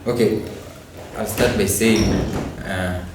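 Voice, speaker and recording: A young man with a Kenyan accent, a student giving halting answers in an interview. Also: fairly low pitch, steady tone. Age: young adult. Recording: very echoey room, distant mic, noisy.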